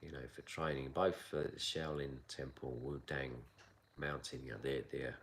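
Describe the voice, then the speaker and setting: A man of around sixty from England, accent softened by years in Asia, answering viewer questions in a calm, measured live chat as a kung fu teacher. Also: very deep voice, slight background noise. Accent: English accent softened by long years in Asia. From south London, England. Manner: calm, measured, unhurried with frequent pauses. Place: outdoor mountain training area.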